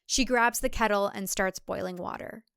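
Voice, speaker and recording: A woman's voice: medium pitch, moderate pace, vocal fry, fairly bright tone, clear, resonant tone, dry recording. A woman reading scripted fiction as an audiobook narrator, recorded on a close mic.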